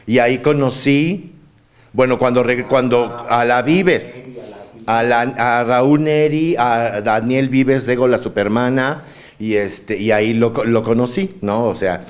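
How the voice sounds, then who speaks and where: A man's voice: mid-range voice, slow. Man in his fifties, Mexican Spanish accent, campy, playful guest. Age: 50s. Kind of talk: casual chat.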